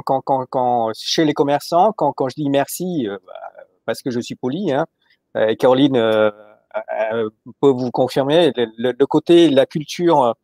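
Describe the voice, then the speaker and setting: A man's voice: medium pitch, a little mellow, no room sound. A man moderating an online panel discussion, joking and stumbling over repeated words as he talks. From Paris. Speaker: webinar moderator.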